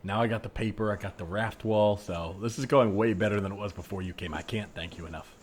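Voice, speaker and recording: A man improvising a desperate, sheepishly philosophical shopper in a comedy scene, halting and rambling as he earnestly justifies himself. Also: lowish voice, husky. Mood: relieved, musing.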